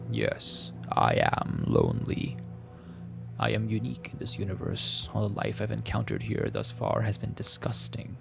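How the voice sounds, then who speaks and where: A man voicing a haughty, menacing female alien creature in a visual novel, with a gravelly growl that turns breathy and slow, formal speech. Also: on the low side, exaggerated voice.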